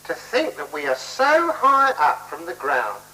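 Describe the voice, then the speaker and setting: A man's voice: on the high side, huge pitch swings, bright, forceful tone, heavily acted. A man playing a mild, agreeable hotel guest in a 1970s radio play, soothing and full of childlike wonder. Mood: excited wonder. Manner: placating, deliberate, echoes others' phrases. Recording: noisy, humming 1970s radio recording.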